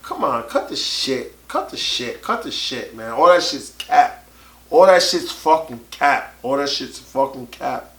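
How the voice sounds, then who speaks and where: A man in his late thirties in casual podcast chat, loud and animated, swearing freely and often bursting into shouts. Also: mid-range voice, measured pace. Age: 30s. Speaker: podcast co-host. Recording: echoey room with faint noise.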